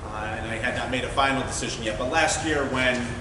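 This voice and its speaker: A man running for mayor, laying out his plans at a forum in a measured, methodical way with frequent "uh" fillers. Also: mid-range voice. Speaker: mayoral candidate. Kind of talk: campaign forum speech. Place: large meeting hall. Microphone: distant room mic. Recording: echoey hall from far off, noisy.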